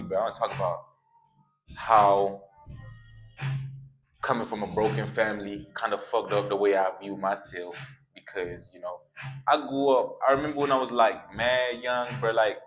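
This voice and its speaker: Slangy young man with a low voice. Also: a bit bright, rough recording. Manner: slangy, streetwise, repeats phrases for emphasis. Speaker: YouTuber. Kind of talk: casual motivational vlog.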